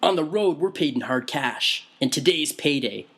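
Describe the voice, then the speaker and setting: A man voicing a loud, tactless punk woman in her late fifties, with a husky voice. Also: a little high, lively intonation, moderate pace, heavily acted. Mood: gossipy.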